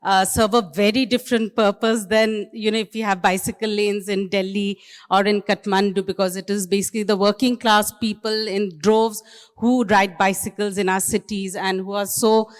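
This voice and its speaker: A woman's voice: medium pitch, flat delivery, normal speed. A woman moderating a conference panel, speaking hesitantly with many fillers. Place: conference hall. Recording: reverberant conference hall.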